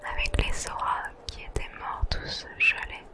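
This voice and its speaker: Whispering host, unhurried, soft, gentle chatty murmur, ASMR game walkthrough. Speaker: host of a relaxation gaming channel. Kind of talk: ASMR gaming commentary.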